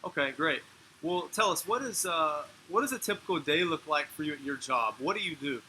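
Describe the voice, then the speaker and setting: A man's voice: on the high side, lively intonation, brisk, pushed and bright, a little hiss or hum, low-quality recording. A man hosting an interview, asking short questions and giving brief acknowledgements. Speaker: interviewer, channel host.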